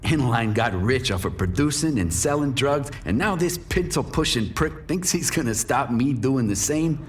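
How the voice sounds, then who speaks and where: A man's voice: mid-range voice, lively intonation, normal speed, slight background noise, natural voice. Man acting a menacing noir crime boss, widely swinging delivery. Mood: brooding, cynical. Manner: cynical, profane, threatening. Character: menacing, bitter crime boss and drug dealer, adult male. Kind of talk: noir first-person scripted narration and dialogue.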